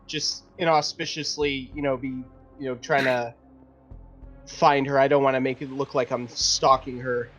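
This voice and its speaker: A man's voice: mid-range voice, level tone, normal speed, fairly bright tone, soft background music. A man playing a tabletop roleplaying game, casually talking through his actions and improvising dialogue in a hesitant, self-correcting way.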